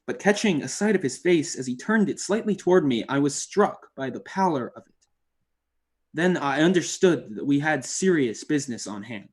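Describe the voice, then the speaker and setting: A man's voice: mid-range voice, natural voice. Man acting a formal, dramatic hunter-narrator. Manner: formal, literary storytelling with dramatic emphasis. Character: male hunter recounting an eerie encounter, rattled but articulate. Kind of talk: old time radio style dramatic narration.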